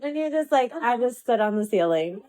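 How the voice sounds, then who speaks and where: A woman's voice: fairly high pitch, expressive, normal speed, mellow. A woman hosting a casual interview in an informal, encouraging way. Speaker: podcast host.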